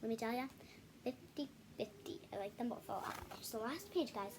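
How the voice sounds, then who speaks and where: A woman hosting a children's read-aloud video, reading a picture book with casual, halting, self-correcting asides to viewers. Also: a little high, expressive, background noise. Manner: halting, self-correcting, apologetic while showing pages.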